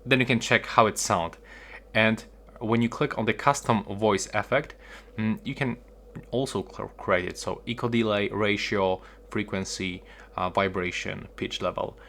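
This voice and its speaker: Low-voiced man giving a tutorial, noisy background. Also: steady tone, a little slow, fairly bright tone. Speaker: tutorial host. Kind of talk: casual how-to narration.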